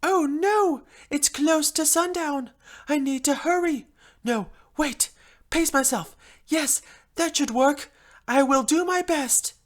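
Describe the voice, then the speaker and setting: A woman's voice: a little high, a little slow, close to an everyday voice. A woman voicing a refined, fretful female pony fashion designer with a theatrical, genteel manner in a first-person story. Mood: panicked, dramatic. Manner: theatrical, genteel, uses "darling". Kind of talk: first-person fan story narration in character.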